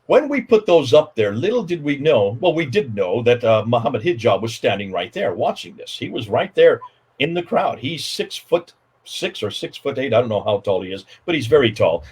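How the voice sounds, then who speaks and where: A man's voice: medium pitch, big pitch swings, fairly quick, darkish tone, husky. An older man on a video call from his home study, telling a story emphatically as a guest apologist in a panel talk. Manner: emphatic, repetitive, gesturing a lot. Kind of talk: animated storytelling in a panel discussion. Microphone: video call webcam.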